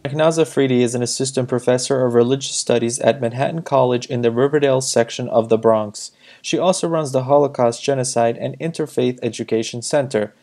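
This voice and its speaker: Male reporter for scripted news voiceover, relaxed dark tone. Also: mid-range voice, fairly quick.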